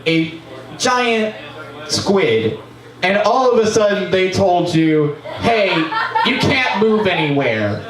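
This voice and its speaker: A young man on a small bar stage tears through a comic history rant with a high, swooping voice and profane outbursts. He stands at a mic stand, picked up by a camera across a roomy venue. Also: distant mic. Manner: profane, animated, breaks into shouts. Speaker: open mic performer. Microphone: stand mic, distant camera.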